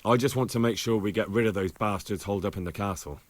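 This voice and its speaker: A man's voice: on the low side, fast talker, dry recording, lightly acted. An actor playing an earnest, respectful young man in a medieval video game, speaking plainly. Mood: determined.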